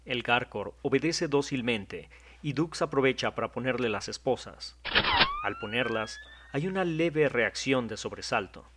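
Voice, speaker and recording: A man's voice: medium pitch, fairly bright tone, husky. Man narrating a drama. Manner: measured, descriptive storytelling. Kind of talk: scripted audio drama narration.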